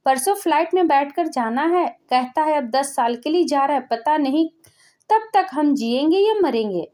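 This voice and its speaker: A woman's voice: sits high, warm tone. A woman voicing a poor old father from a village who speaks in a pleading, bewildered way, in a spoken storybook.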